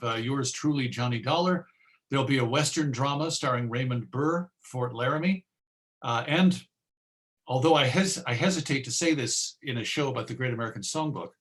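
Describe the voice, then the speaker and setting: A man speaking as a radio host giving an informal online presentation, chatty and self-deprecating, with a halting delivery. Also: medium pitch. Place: remote video presentation.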